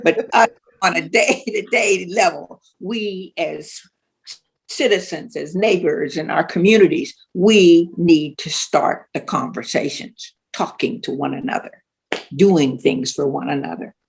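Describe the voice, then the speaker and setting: A woman's voice: lowish voice, a little slow, vocal fry, breathy edge. A woman speaking earnestly as a panelist, repeating phrases for emphasis.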